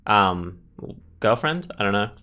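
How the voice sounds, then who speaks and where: A man's voice: lowish voice, animated, takes it slowly, sharp tone. A man giving casual let's play commentary, thinking aloud in tentative fragments. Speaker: gaming host. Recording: music playing behind commentary. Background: music.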